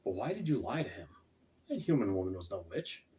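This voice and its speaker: A man's voice: lowish voice, big pitch swings, fairly quick, mellow, slight background noise. A man voicing a loyal, questioning archangel in a storybook, earnest and frank. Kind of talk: storybook character voice. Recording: distant, off-mic home recording.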